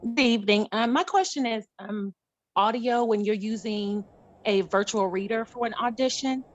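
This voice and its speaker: A woman's voice: on the high side, normal speed. A woman attending a workshop, politely asking the experts a question. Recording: dull, noisy online call audio.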